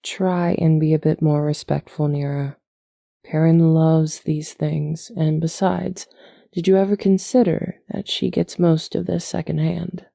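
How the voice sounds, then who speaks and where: A woman acting in an audio drama as a devout, composed woman who gently scolds and instructs in formal phrasing. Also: low-pitched, little pitch movement, unhurried, creaky, no echo, studio quality, full character voice. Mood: measured, admonishing.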